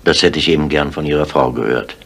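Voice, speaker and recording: A deep-voiced police inspector in a 1970s radio crime drama. He puts his questions politely and with measured calm. He is persistent and never lets go of a point. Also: breathy edge, full character voice. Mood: insistent.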